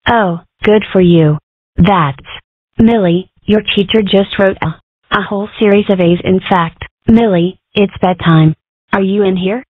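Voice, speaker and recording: A woman's voice: mid-range voice, a little slow, husky, no room sound. A woman voicing an exasperated adult mother fox in a cartoon comic dub. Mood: puzzled. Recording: thin, band-limited, phone-like sound.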